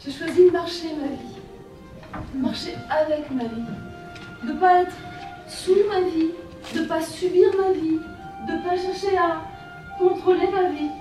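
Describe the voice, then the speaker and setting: A woman from France with a French accent and a high voice, guiding a women's workshop in a soft, lyrical way. She is far from the mic in a very echoey room. Music plays clearly behind her. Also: measured pace, pauses often. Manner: gentle, lyrical, with repeated phrases. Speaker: workshop leader. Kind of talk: guided women's workshop talk.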